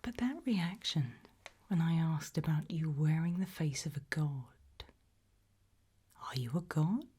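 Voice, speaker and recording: A British woman playing a composed, probing, ominous interrogator in a whispered, breathy ASMR roleplay, questioning deliberately. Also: low voice, mellow, full character voice. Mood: eerie, probing. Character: composed, probing female interrogator of possessed people at a sinister institute.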